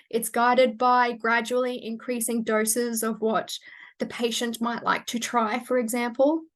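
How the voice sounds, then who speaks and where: A woman, presenting medical research in a measured, technical way, with frequent fillers and restarts. She is losing her voice and sounds hoarse. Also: fairly high pitch. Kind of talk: academic webinar presentation.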